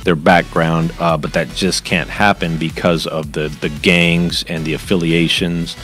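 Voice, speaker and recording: Middle-aged man, jail officer, matter-of-fact, deep voice, faint music under. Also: little pitch movement, unhurried, fairly bright tone. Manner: plain, matter-of-fact explaining. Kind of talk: studio podcast interview. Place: podcast studio. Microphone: boom mic on arm.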